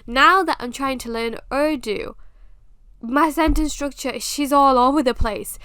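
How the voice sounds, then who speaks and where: A woman's voice: high-pitched, measured pace, fairly bright tone, no echo. A young British woman with a British accent, hosting a podcast and talking candidly, with self-mocking humor, in a casual monologue. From England. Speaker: podcast host.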